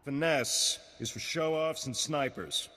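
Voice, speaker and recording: A man's voice: fairly high pitch, a little slow, bright, forceful tone. A man playing a ferocious, blunt weapons instructor with a folksy, goading manner in a video game. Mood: taunting. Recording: long reverb.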